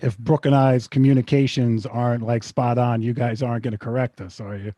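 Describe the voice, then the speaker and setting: A man's voice: mid-range voice, steady tone, a little fast, mellow, dry recording. A man hosting a live show, talking loosely with lots of fillers. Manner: loose, filler-heavy, friendly. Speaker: host.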